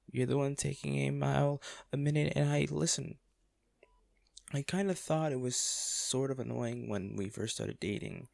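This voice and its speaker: A man acting an anguished wealthy businessman in an intimate audio drama, speaking haltingly and emotionally to a comatose lover, with a gravelly voice. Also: mid-range voice, dry recording. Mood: wistful, hurt. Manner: halting, emotional, with sighs and repeated words.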